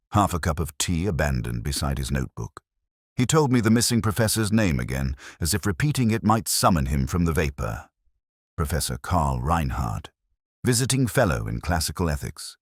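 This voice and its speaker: A man narrating a detective story in the first person, measured and reflective, with a wry edge. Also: low voice, no echo, studio quality.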